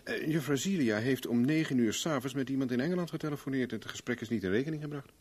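An actor playing a smooth, affable private investigator with a casual, politely probing manner in a 1970s Dutch radio play. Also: male voice, medium pitch, quick pace, darkish tone, lightly acted.

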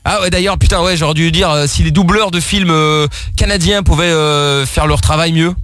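A man hosting a live radio call-in show, joking quickly with callers and co-hosts. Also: a little high, fairly bright tone, no echo, soft background music. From Belgium and Portugal. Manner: fast, joking banter with callers. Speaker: radio host.

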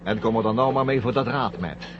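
An actor playing a blunt, suspicious, sardonic astronaut crewmate in a 1970 radio drama. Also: male voice, on the low side, fairly quick, lightly acted. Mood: defiant, accusing. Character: Brad, blunt, suspicious astronaut crewmate confronting his commander. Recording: dull, noisy 1970 radio drama recording.

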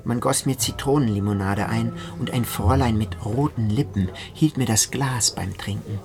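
A man's voice: lowish voice, normal speed. A man narrating a literary radio drama in the first person. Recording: music behind the voice. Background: music.

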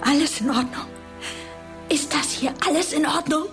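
Teenage schoolgirl, high voice, earnest, pleading, indignant, radio drama, music underneath. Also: normal speed. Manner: urgent, pleading, reproachful. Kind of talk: naturalistic radio drama acting.

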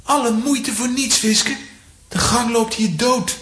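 A woman's voice: fairly high pitch, slow, fairly bright tone. An actress playing a sensible, protective and sceptical young boy with a Flemish accent in a children's audio drama. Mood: concerned.

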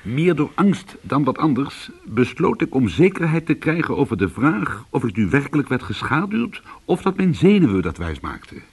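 An actor playing an anxious, aggrieved ex-convict trying to go straight in a Dutch radio play, with a husky voice and a delivery between subdued and agitated. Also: male voice, mid-range voice, very animated, moderate pace, few pauses. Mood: weary, tense. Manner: swings from subdued to agitated protest. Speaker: lead character and narrator.

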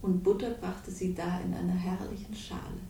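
A woman's voice: flat delivery, normal speed, gravelly, dark tone, a little hiss or hum. An actress playing a cold, manipulative woman in a radio crime drama, speaking with smug irony and cool amusement. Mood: solemn, reciting. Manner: smug, ironic, coolly amused. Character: cold, manipulative, mocking woman confessing her schemes. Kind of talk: 1960s German radio crime drama acting. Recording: roomy, distant, faintly rumbling radio recording.

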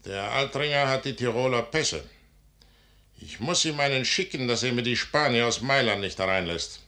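An actor playing a proud, calculating, secretive middle-aged army commander in a classical German radio drama, speaking in a deliberate, aloof, commanding way. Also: male voice, medium pitch, lively intonation, pushed and bright. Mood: brisk, uneasy.

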